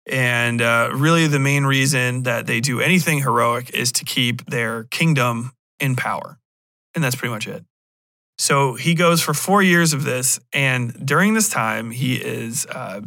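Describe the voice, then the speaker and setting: A man's voice: medium pitch, measured pace, bright, forceful tone, dry recording. A man hosting a podcast, giving a casual, rambling review in a profane, sardonic, incredulous manner. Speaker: podcast host.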